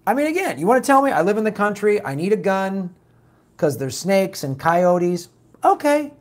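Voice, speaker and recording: A raspy man hosting a political talk show, reading viewer comments and answering them bluntly in a casual, animated way. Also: high-pitched, normal speed. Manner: blunt, animated, mocks opponents with put-on quotes.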